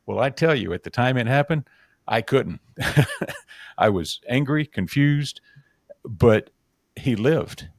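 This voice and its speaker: Earnest male guest, mid-pitched voice, dry close sound. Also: lively intonation, slow pace, long pauses, no echo. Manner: earnest, storytelling, laughs easily. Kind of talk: talk show conversation.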